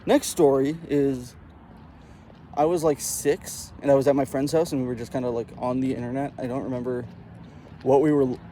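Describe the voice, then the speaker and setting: A man's voice: medium pitch, moderate pace, slight background noise. A man telling personal stories in a casual, profane, comedic way, acting out the dialogue as he goes. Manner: profane, animated retelling with acted dialogue. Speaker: storyteller.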